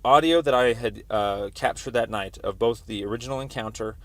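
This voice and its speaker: A man on a recorded phone call explaining a complaint as a caller, in a halting, wordy, roundabout way. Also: mid-range voice, fairly bright tone, no room sound. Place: telephone call.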